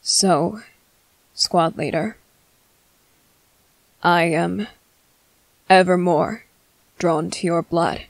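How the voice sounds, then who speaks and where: A woman playing a courteous, restrained male vampire commander in an ASMR roleplay, speaking in formal, halting, hungry phrases. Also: lowish voice, gravelly, no echo, heavily acted, low-quality recording. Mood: hesitant, yearning. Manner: formal, halting, measured, apologetic. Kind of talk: scripted ASMR roleplay monologue.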